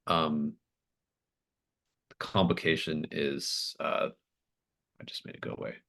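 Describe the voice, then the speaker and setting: A game master with an extremely low voice narrates a tabletop roleplaying scene. The descriptions are improvised and thoughtful, arriving in halting phrases as each image is put together. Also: fairly even intonation, a bit bright.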